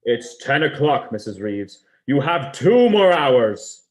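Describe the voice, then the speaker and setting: An actor playing a deliberate, menacing, taunting telephone caller in a vintage radio mystery. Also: male voice, medium pitch, big pitch swings, faint music behind.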